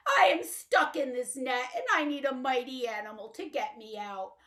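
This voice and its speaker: A big storybook lion, once proud and now humbled and thankful, speaking loudly and earnestly, as a woman puts him on. His voice is bright and animated, with wide swings in pitch. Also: moderate pace.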